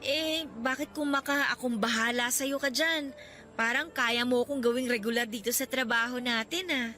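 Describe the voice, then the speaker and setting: An actress playing a shy, self-doubting young woman contract worker in a radio drama, speaking softly and hesitantly. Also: a little high, fairly even intonation, normal speed, faint music behind. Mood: warming. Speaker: Karen.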